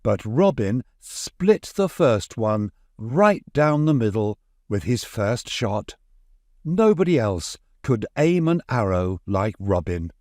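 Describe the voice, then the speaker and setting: A man reads a bedtime story for children in a medium-pitched voice. He takes his time and swings his intonation widely to keep the tale expressive. The sound is dry, with very little room to it. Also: takes it slowly, dry recording.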